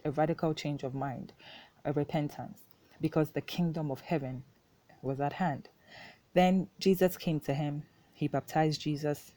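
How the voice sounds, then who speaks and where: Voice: mid-range voice, dark tone, no echo. A podcast host from Ghana, a native Twi speaker, explains faith informally and repeats key points for emphasis. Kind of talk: casual faith talk, unscripted.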